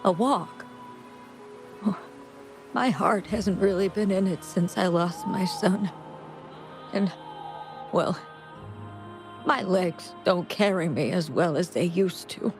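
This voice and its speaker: An actress voicing a kindly, frail elderly mother in a video game, with a gentle, hesitant, unhurried manner. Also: fairly low pitch. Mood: hesitant, wistful. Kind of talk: scripted video game voice acting. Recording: music playing behind the voice. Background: game music.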